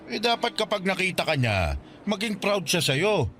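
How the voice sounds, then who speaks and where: Stern, preachy older Filipino guardian. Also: male voice, sits high, sing-song, normal speed, sharp tone, a little hiss or hum, exaggerated voice. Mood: stern, advising. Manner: preachy, admonishing. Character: poor older bachelor man, stern, caring guardian. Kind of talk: Tagalog radio drama acting.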